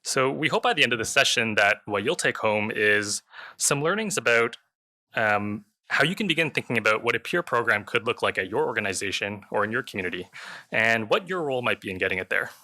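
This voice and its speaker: A man with a fairly low voice moderates a panel. His tone is very bright and forward, and he speaks in the prepared manner of a formal introduction. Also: expressive, brisk.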